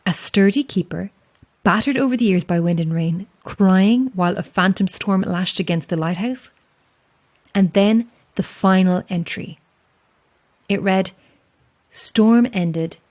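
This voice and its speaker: A woman playing a solitary, calm lighthouse keeper with an Irish accent in a horror audio drama, speaking in a measured, understated way. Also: on the low side. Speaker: lighthouse keeper and narrator of a tale.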